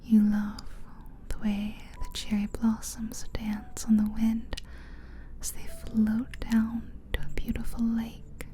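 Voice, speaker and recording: A woman whispering a slow, soothing guided visualization as an ASMR host, close to the mic. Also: a little high, monotone, dark tone, slight background noise. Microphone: close mic, ear to ear.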